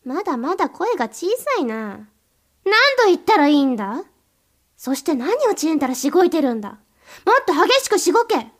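A woman voices a cold, domineering mistress in an erotic audio drama, her voice pitched very high. She speaks in curt, contemptuous commands and addresses the listener directly as her slave. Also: big pitch swings, fairly quick. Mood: commanding.

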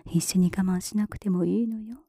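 A woman playing a breathy, whispering, mocking mistress. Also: mid-range voice, fairly even intonation, a little fast, no echo. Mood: teasing, mocking. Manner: soft close whisper with taunting laughs. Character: mocking dominant woman, cool and teasing. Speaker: voice actress. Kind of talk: whispered ASMR roleplay.

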